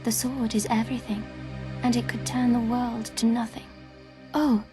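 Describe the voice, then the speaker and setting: An actress playing a grave young seer in a video game, breathy and hushed, with an intense, foreboding manner. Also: female voice, fairly high pitch, little pitch movement, normal speed, over music, natural voice. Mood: urgent, foreboding. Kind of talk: video game cutscene acting.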